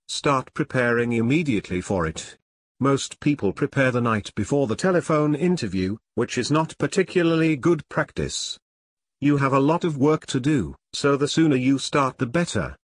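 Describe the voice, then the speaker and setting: A man delivers scripted tutorial narration at an average male pitch. His delivery is measured and formal, with deliberate phrasing as he gives advice. The voice is close on the mic, with a very dry sound free of room reflections. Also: lively intonation, no echo. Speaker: presenter of career advice tutorial.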